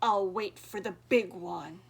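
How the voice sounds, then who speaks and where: A woman's voice: mid-range voice, takes it slowly, gravelly, husky, voice pushed down, heavily acted. A woman doing a roaring ugly troll. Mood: roaring menace. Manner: roaring, growling. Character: big ugly mean male troll under a bridge.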